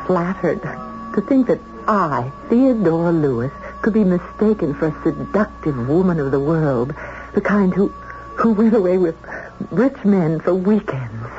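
An actress playing a prim, easily flustered but spirited young secretary in a 1970s radio drama, speaking with proper, hesitant diction. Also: female voice, fairly low pitch. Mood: dignified, flattered. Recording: dull vintage radio recording.

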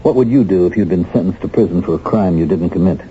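A man's voice: low voice, fast, dark tone, slight background noise, natural voice. An American actor playing a bitter, determined middle-aged man just freed from prison, speaking earnestly and emphatically in 1970s radio drama style. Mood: guarded, resolute. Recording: dull vintage radio recording with music.

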